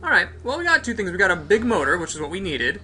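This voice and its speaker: A man's voice: sits high, sing-song, quick pace, a crowd in the background. A man hosting a casual game play-through, explaining things step by step to his viewers.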